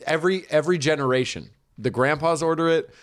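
A man's voice: fairly high pitch, fast. A man chatting casually and comically as a podcast co-host, telling anecdotes and acting out the quotes. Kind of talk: casual comedic chat.

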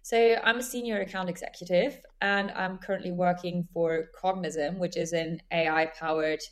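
Brief: young woman, lowish voice, sales guest on a podcast, fluent and explanatory. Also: steady tone, normal speed. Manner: fluent, explains terms as she goes. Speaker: guest, senior account executive. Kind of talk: podcast interview.

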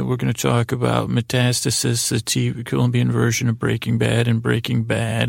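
A man hosting a bedtime podcast in a lulling, soothing, creaky voice, meandering and trailing off mid-thought. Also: mid-range voice, dry recording, studio quality. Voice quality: very creaky, gravelly. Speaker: podcast host. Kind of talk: rambling bedtime-story podcast monologue.

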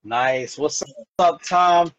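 A man's voice: fairly high pitch, extremely slow, a bit bright, a little hiss or hum. An American man hosting a casual chat in an effusive, slangy way, often repeating himself. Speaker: podcast host. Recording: dull, roomy, lo-fi audio.

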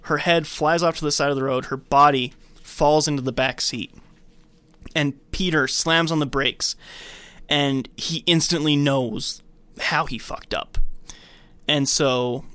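A podcast co-host casually retelling a film scene by scene, acting out bits in an animated way. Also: medium pitch, a little slow, clean tone, dry recording.

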